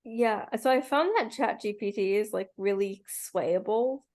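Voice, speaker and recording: A female student talking casually on a video call. Her voice sits at a medium pitch and her sentences come loosely, padded with lots of filler. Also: measured pace, darkish tone. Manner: filler-heavy, peppered with "like". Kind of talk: casual class discussion. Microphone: video call mic.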